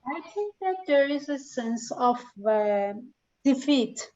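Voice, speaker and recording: A woman student answering in an online class, halting and searching for her words with many fillers. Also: high-pitched, expressive, darkish tone, muffled recording. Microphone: video call.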